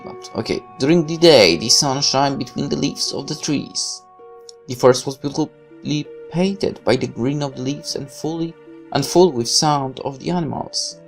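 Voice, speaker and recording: Gaming video reader, medium pitch with a gravelly creak, reading story text with offhand comments over music. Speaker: gaming video host reading a visual novel aloud. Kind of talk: story read-aloud with casual asides.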